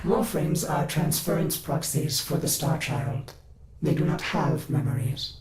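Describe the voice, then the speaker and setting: Game AI, male actor: higher-pitched, formal, dutiful ship mind, clipped and precise, echoey. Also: a little slow, natural voice. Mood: reproving. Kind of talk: cinematic video game voice acting. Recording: echoey, with loud background noise.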